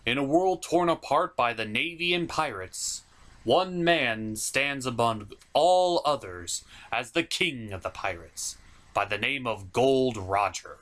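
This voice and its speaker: A man hosting a review show, delivering scripted comedic narration in a theatrical way with dramatic pauses. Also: medium pitch, fairly bright tone. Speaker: host of a cartoon and anime review show.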